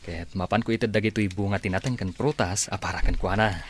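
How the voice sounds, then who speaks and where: A man playing an earnest, lovestruck and polite young older brother in an audio drama, with a husky voice. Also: lowish voice, fast, a little hiss or hum, lightly acted. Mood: earnest, shy. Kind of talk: amateur audio drama acting.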